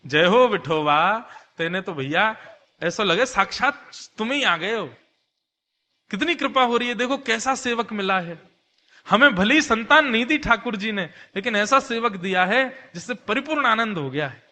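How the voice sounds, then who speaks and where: A man's voice: sits high, animated, normal speed, pushed and bright. A man voicing a kindly, trusting, devout householder in a devotional tale, reassuring and folksy. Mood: joyful, grateful. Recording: long hall reverb.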